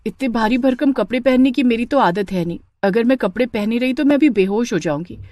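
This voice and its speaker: A woman's voice: on the high side, animated, a little fast, a little mellow, no room sound, close to an everyday voice. A woman voicing a young daughter-in-law, pleading and justifying herself in a family story. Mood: complaining. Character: young new daughter-in-law, pleading, unashamed and heat-weary.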